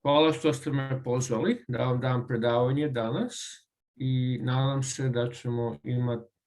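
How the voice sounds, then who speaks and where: The voice is a man with a Croatian accent, speaking as a guest presenter over a video call. His pitch sits mid-range for a man, and he talks in a halting way, filling gaps with ums and uhs. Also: steady tone, a little mellow. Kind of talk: online presentation.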